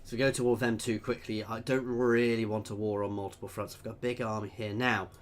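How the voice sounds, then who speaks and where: Chatty low-voiced gamer. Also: male voice, flat delivery, quick pace. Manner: chatty, thinking aloud, reads out chat messages. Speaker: gameplay video creator. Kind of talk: casual strategy game commentary.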